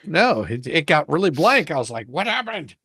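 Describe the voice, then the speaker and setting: A mid-pitched host talks casually to a group of readers online. The intonation is very animated and the sound is dry as they give directions and banter. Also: normal speed, breathy edge, no echo.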